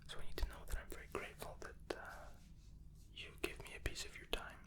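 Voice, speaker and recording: A man gently whispering an ASMR medical roleplay as a reassuring, unhurried doctor. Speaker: ASMR roleplay host playing an examining doctor.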